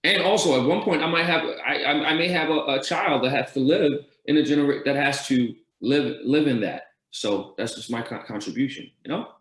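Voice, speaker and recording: A man's voice: fairly high pitch, fairly quick, hardly any pauses, fairly bright tone. A man from Denmark, a guest entrepreneur answering town hall questions earnestly, often restarting his sentences and counting off his points. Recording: roomy echo.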